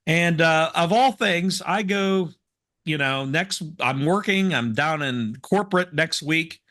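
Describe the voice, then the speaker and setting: A man co-hosting a casual talk show, hoarse from smoke, telling rambling self-deprecating stories. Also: a little high, animated, slow, pushed and bright. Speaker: co-host. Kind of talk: casual chat, storytelling.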